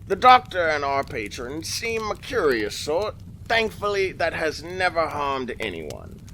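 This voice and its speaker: An actor playing a wry otherworldly keeper who observes mortals, narrating an audio drama in a sly, musing, theatrical way. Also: male voice, a little high, very animated, measured pace, bright, forceful tone, slight background noise, full character voice.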